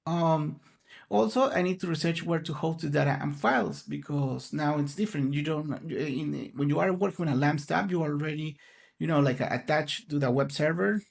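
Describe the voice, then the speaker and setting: Spanish-speaking man with a gravelly voice. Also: on the high side, normal speed. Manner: earnest, explanatory, searching for words. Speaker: conference speaker. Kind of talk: online conference presentation.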